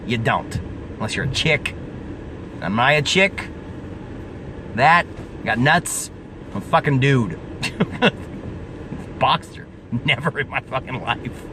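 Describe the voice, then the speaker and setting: A man's voice: medium pitch, expressive, slow, long pauses. A man in his twenties, vlogging in a casual rant that is profane and emphatic, repeating himself and laughing at his own jokes. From US Pacific Northwest. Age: 20s. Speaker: car vlogger. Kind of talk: casual ranting vlog. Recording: outdoor recording, mic at a distance, traffic noise.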